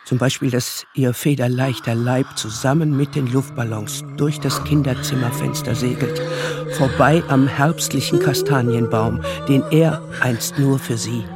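A man narrating a radio play as storyteller of a child's magical world, with measured, dramatic phrasing. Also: mid-range voice, steady tone, loud music behind the voice, close to an everyday voice. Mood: storytelling.

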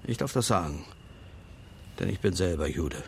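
Old male lawyer for radio crime play: gravelly, lowish, formal, guarded, drily stern. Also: lively intonation, slight background noise. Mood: stern, pointed. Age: older. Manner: measured, formal, pointed.

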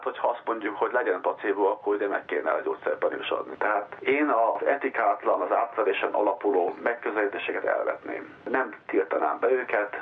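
Husky man on a thin phone line. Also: fairly low pitch. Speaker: interviewed science expert. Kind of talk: explanatory radio interview answers. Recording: muffled, phone-like radio line.

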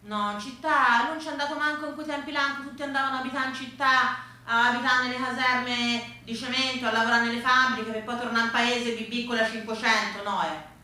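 A woman's voice: fairly high pitch, fairly even intonation, a little fast, bright, forceful tone, far from the mic. A woman with a Tuscan accent performing a colloquial theatrical storytelling monologue. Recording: very echoey room, faint music.

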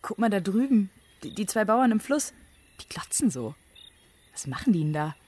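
A woman's voice: medium pitch, very animated, normal speed, a little mellow, breathy edge. A female performer playing a veteran's young daughter in a radio drama, asking blunt, childlike questions in a colloquial way. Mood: bored, blunt. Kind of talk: naturalistic radio drama dialogue.

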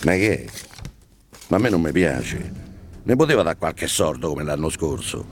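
A man's voice: a bass voice, very animated, measured pace, slight background noise, full character voice. An actor playing a flustered, complaining doorman in a radio drama, insistent and stammering. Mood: grumbling, reproachful. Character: flustered, complaining building doorman pestering the receptionist.

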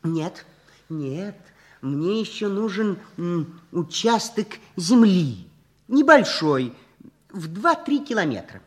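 A young eccentric genius in a vintage Soviet radio drama. His voice sits high and moves in a lively way. He is calmly stubborn, pedantic and absent-minded, humming as he hesitates. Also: takes it slowly, full character voice. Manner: pedantic, matter-of-fact, hesitating with little hums. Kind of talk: Soviet 1960s radio play acting.

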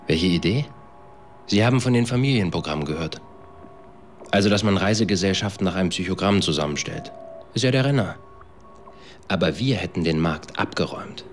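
A deep-voiced, bitter man tells his side bluntly and in slang. It sounds like naturalistic radio drama, with faint background noise. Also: expressive, fairly quick, long pauses. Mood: bitter, slangy. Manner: blunt, colloquial.

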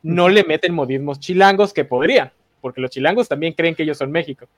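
A man's voice: a little high, no room sound, clean studio sound. An opinionated man, ranting sardonically on a podcast panel. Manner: opinionated, sardonic, quick rants. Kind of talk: casual panel chat.

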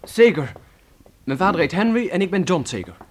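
A man's voice: sits high, sing-song, a little fast, slight background noise. An actor playing an earnest, dutiful fourteen-year-old pioneer boy in a radio play, polite and slightly hesitant. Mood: earnest, nervous. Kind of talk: Dutch radio play acting.

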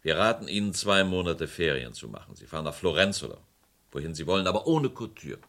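An actor playing a courteous police official who applies firm pressure in a German radio crime drama, polite and officious. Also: male voice, low-pitched, big pitch swings, quick pace, fairly bright tone, exaggerated voice. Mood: persuasive.

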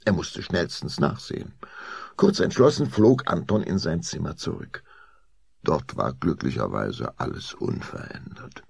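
Male audio-play narrator, gravelly, slow, dry close studio sound, suspenseful storytelling. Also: a bass voice, huge pitch swings, a little mellow, no echo.

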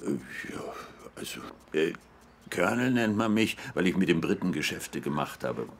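An actor playing a genial, nostalgic older merchant in a radio drama, with a hesitant, reminiscing manner. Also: male voice, low voice, lively intonation, moderate pace, gravelly, slight background noise.

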